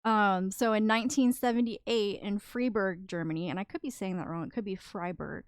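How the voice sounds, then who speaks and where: A woman's voice: medium pitch, moderate pace, clean tone, no room sound, clean studio sound. A woman co-hosting a podcast, earnestly recounting stories in a casual chat. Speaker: podcast co-host.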